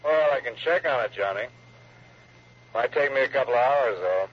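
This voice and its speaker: An actor playing an obliging, knowing police lieutenant in a 1960s radio drama, with a husky voice. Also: male voice, medium pitch, animated, moderate pace. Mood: recalling, helpful. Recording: dull old radio recording.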